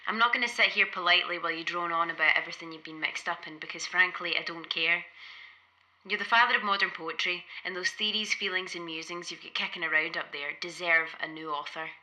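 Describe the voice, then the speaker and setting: A woman's voice: on the low side, steady tone, fast, sharp tone, low-quality recording. An actress playing a blunt, ambitious nineteen-year-old poet in an audio play, direct and frank in her delivery. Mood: blunt, impatient. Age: young adult.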